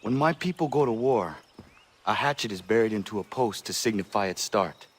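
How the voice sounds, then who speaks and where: Intense young warrior, swooping pitch. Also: male voice, animated, normal speed, slightly rough. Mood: solemn. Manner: formal, intense. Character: impassioned, righteous young Native warrior, solemn and formal. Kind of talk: dramatic game cutscene acting.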